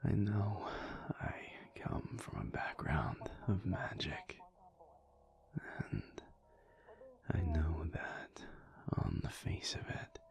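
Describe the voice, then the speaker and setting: A man playing a thoughtful, weary alpha werewolf in an intimate audio roleplay, speaking softly and breathily in a halting, confiding way. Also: very animated, full character voice. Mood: pensive, weary. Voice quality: breathy, half-whispered, low and gravelly. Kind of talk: intimate audio roleplay, soft-spoken ASMR monologue.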